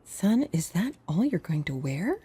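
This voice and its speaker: A woman playing an exasperated, bossy mother of a teenage boy in a horror audio drama, with a husky voice. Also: on the low side, very animated, a little slow, dark tone, heavily acted.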